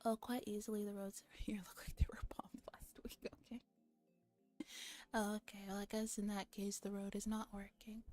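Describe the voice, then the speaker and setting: A woman streamer chatting casually in a relaxed ASMR livestream, with playful, giggly banter between bits of reading a visual novel aloud. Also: medium pitch, normal speed, soft background music. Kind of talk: casual livestream chat mixed with game read-aloud, ASMR.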